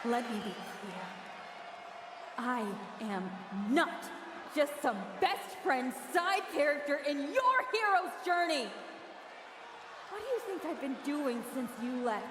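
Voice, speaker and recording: Slow, high-voiced bitter wrestling villainess. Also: female voice, big pitch swings, heavily acted. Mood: bitter, taunting. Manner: slow, deliberate, taunting speech. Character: bitter, jealous female wrestler turned villain, betrayed friend. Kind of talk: wrestling promo speech to a crowd. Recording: echoey arena reverb with noisy crowd.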